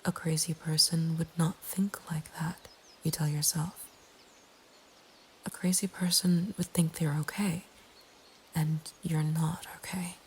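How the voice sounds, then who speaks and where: A woman narrating a scary story in a breathy, hushed ASMR whisper, slow and deliberate. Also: low-pitched, flat delivery, a little hiss or hum. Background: nature ambience.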